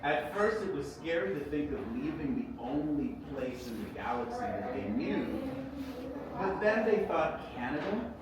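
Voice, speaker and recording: A man reads a children's story aloud as its author, theatrically, with expressive delivery and dramatic pauses. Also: a little high, over a noisy crowd. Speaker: author reading own book. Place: echoey indoor event space. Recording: echoey room, distant mic, noisy background.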